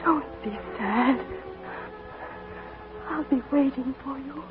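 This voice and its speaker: An actress in a 1940s radio drama playing a tender, dying young bride, her voice high and clear. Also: slow pace. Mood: pained, tender. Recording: tinny old radio broadcast with music.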